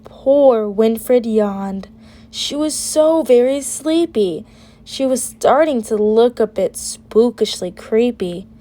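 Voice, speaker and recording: A woman reading a children's picture book aloud with an expressive, deliberate delivery. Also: fairly high pitch. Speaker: storybook reader.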